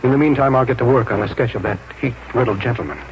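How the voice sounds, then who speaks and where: An actor playing a stubborn, uneasy painter husband, distracted and hesitant, in a 1940s radio drama. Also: male voice, mid-range voice, fast, close to an everyday voice. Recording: muffled, noisy 1940s radio recording.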